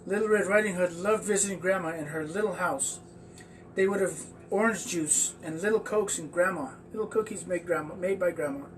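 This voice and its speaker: A man telling a children's story aloud in a halting, stumbling way. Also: sits high. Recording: distant mic with faint background noise.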